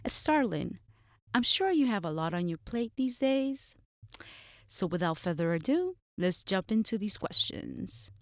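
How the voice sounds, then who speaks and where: A woman's voice: medium pitch, sing-song, measured pace, dry recording, studio quality. A woman hosting an interview, asking questions in a friendly, upbeat way. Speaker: interview host.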